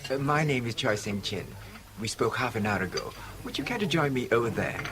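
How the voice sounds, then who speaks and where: An actor playing a courteous, secretive Hong Kong Chinese go-between in a 1970s radio drama, polite and guarded. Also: male voice, mid-range voice, lively intonation, brisk, lightly acted. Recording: chatter behind, old radio recording.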